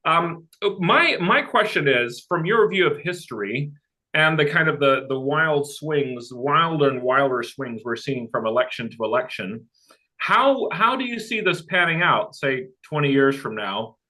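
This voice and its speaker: Bright-voiced eager man with American accent. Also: a little high, animated, unhurried, rough recording. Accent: American English. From Sweden. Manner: eager, chatty, stumbling restarts. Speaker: audience member asking a question.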